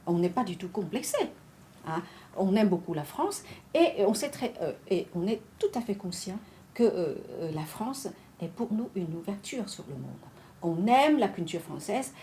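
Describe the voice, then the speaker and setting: A woman's voice: mid-range voice, moderate pace, slight background noise. A woman from Vietnam, a literary translator talking animatedly in an interview, restarting her phrases often. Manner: animated, many restarts and fillers. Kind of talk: conversational interview discussion.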